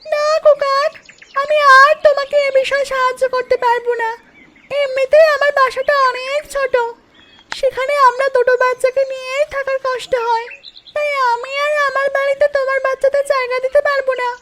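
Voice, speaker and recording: A woman's voice: very high-pitched, little pitch movement, a little fast, fairly bright tone, a little hiss or hum. A woman voicing a kind-hearted mother duck in a cartoon, with an earnest, coaxing manner. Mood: firm. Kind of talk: cartoon voice acting.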